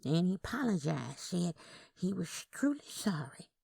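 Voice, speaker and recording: A woman voicing a frail, wistful twin aunt in her eighties with a soft, sing-song manner, in a Southern Gothic story. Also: lowish voice, big pitch swings, a little slow, creaky, husky, dry recording, clean studio sound, heavily acted. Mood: wistful, insistent. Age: 80s.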